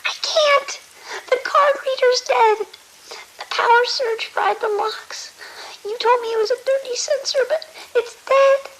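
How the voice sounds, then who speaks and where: A frightened female medic in a horror audio drama. Her pitch is extremely high, and the words come slowly and haltingly, full of pleading panic. Faint hiss and clicks surround her. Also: steady tone. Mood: panicked. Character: terrified, trapped female doctor pleading for help.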